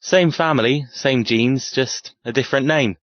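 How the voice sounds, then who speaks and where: A woman's voice: very deep voice, normal speed, no room sound, voice pushed down. A guarded young horseman acted out by a woman narrator speaks in a voice dropped well down, clipped and sardonic. He sounds tightly wound, like a character in a romance audiobook. The sound is dry and slightly dull. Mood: tense, measured.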